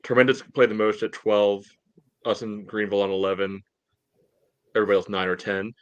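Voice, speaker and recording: A man with a fairly low voice in casual sports podcast chat, recorded very dry. He is blunt and sweary and pads his talk with "you know". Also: level tone, brisk, clear, resonant tone, no room sound. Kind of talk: casual fan chat about soccer.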